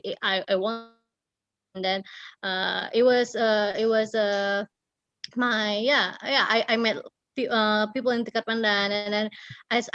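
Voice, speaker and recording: A woman with an Indonesian accent telling a story casually, often halting as she searches for words. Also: mid-range voice, a bit bright, no room sound, muffled recording. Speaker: writer and guest storyteller. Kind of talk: casual storytelling in an online talk.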